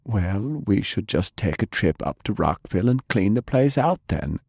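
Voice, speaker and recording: A man voicing a principled, weary older gentleman in an audiobook, speaking in a measured, disapproving manner. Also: lowish voice, sing-song, gravelly, breathy edge, no room sound, clean studio sound, full character voice. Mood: questioning. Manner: measured, reproving. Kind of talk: audiobook character acting.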